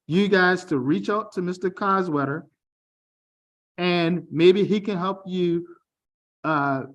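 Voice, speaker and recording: A man's voice: on the high side, highs cut off. Middle-aged American man hosting a video call. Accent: General American, mild Baltimore. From Baltimore, United States. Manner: direct, conversational, checks in with "okay?" and "all right?". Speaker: information session host. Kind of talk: online presentation.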